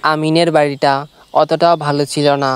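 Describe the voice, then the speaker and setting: A storyteller narrates a scripted cartoon moral tale at a medium pitch. Background noise can be heard behind the narration. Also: level tone, moderate pace.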